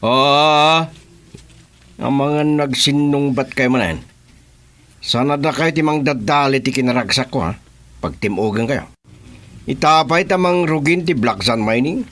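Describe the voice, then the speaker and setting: An actor with an Ilocano accent playing a bossy, gloating, hard-drinking boss in a radio drama. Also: male voice, mid-range voice, a little slow, long pauses, a bit bright, natural voice. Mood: gloating, swaggering. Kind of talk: Filipino radio drama acting.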